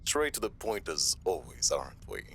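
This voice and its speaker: Man playing a very deep, gravelly mobster villain. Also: very animated, moderate pace, fairly bright tone, exaggerated voice. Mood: smug, menacing. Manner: mocking, drawling, smug. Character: sadistic, taunting mobster villain, smug and theatrical. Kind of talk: audio drama villain acting. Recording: noticeable hiss and background noise.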